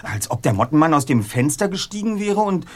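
A man's voice: on the high side, very animated, brisk. An actor playing a clever, pompous teenage boy detective in an audio drama, speaking precisely and formally. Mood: analytical, insistent. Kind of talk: German audio drama acting.

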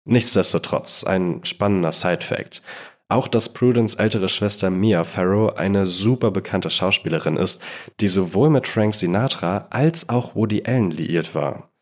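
A man with a fairly low voice narrates a scripted true-crime podcast as one of its hosts. He speaks speech and sets up details in a conversational, storytelling way. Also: unhurried. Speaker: podcast co-host.

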